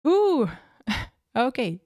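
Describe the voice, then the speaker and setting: A woman's voice: high voice, very animated, drawn-out words, a little mellow, dry recording. Woman hosting a livestream, lots of ums, dead dry sound. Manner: hesitant, many fillers. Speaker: co-host of a livestream. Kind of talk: casual livestream chat.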